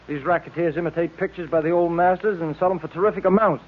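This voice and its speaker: A man's voice: a little high, fairly even intonation, fast talker, close to an everyday voice. A man playing a plain-spoken, genial detective sidekick in old radio drama style. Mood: matter-of-fact. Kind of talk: 1940s radio acting. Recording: tinny noisy old radio recording.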